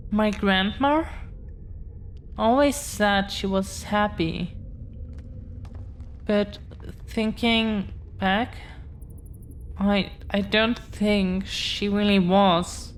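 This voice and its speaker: A woman playing a tender, devoted young dragon-descended girlfriend in intimate roleplay audio, speaking haltingly and earnestly, repeating phrases. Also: mid-range voice, a little hiss or hum. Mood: earnest, vowing.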